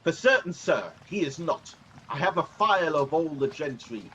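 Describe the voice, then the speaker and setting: An amateur actor playing a grave rebel nobleman in a Shakespearean radio play, measured and declamatory. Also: fairly high pitch, lively intonation, a bit bright. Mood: grave, resolute. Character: grave Scottish noble rebel soldier condemning a tyrant. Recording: muffled, noisy video-call audio.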